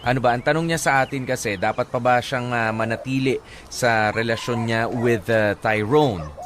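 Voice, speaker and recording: Male Filipino radio co-host, mid-pitched, casual and halting advice talk, noticeable background noise. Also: a bit bright. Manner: halting, filler-heavy, mixes in English.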